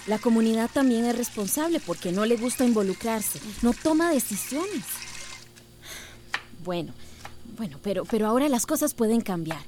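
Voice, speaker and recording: A woman's voice: on the high side, expressive, moderate pace, warm tone, slight background noise, natural voice. A woman playing a young, earnest village schoolteacher in a radio soap. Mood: persuasive. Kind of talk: radio soap opera acting.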